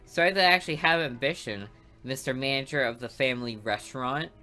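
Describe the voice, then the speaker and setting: Haughty ambitious schoolgirl, game character, read by a woman, condescending and crisp. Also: rumbling low, quick pace, faint music behind. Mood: snippy. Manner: patronizing and sharp.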